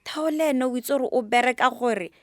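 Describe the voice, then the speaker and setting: A woman's voice: high voice, brisk, no echo, clean studio sound, close to an everyday voice. A woman with a Botswana accent acting a proud, frustrated young mother protesting to her own parent in a radio drama. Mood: pleading, firm. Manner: assertive, protesting.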